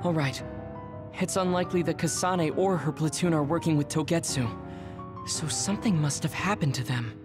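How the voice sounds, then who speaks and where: Woman playing a low-pitched, composed young comrade. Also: moderate pace, close to an everyday voice. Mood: composed, serious. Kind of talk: anime game voice acting. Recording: music behind the voice.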